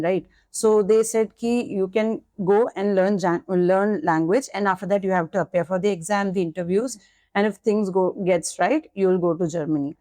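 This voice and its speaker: A woman's voice: mid-range voice, mellow, dry recording. A young Indian woman speaking frankly in a podcast interview, her English lightly mixed with Hindi. Her voice sits in an ordinary middle register and is recorded close and dry in a studio, with no room sound. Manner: candid, switches between English and Hindi. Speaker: nursing candidate, guest.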